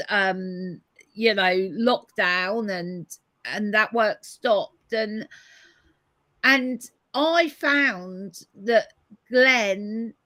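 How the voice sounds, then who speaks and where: Slow, bright-voiced hoarse woman host. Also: medium pitch, dry recording. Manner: thoughtful, reads viewer comments aloud. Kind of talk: live-stream conversation.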